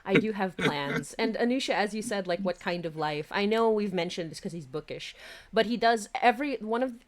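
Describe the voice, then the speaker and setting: Excitable giggly lady hosting a livestream, chatty, repeats herself to make a point. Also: medium pitch, fairly quick. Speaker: podcast creator and stream host. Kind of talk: casual livestream chat.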